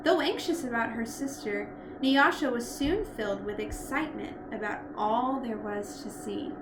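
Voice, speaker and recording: Woman narrating a kids storybook, expressive, echoey room w noticeable background noise. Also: fairly high pitch, normal speed. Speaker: storybook reader. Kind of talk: expressive read-aloud narration.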